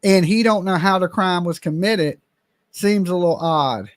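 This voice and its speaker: A man's voice: sits high, normal speed, no room sound, clean studio sound. A man hosting a casual chat, blunt and opinionated, swearing now and then.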